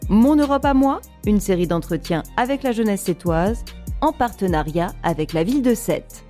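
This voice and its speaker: A woman reading a scripted radio introduction in an even, measured way. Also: mid-range voice, faint music behind. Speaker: radio narrator. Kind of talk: scripted narration.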